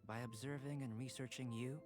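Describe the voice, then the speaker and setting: A man playing an aloof, curious young alchemist prince in a video game, speaking in a measured, formal, detached way. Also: medium pitch, steady tone, natural voice. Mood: calmly persuasive. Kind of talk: video game character acting. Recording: music behind the voice.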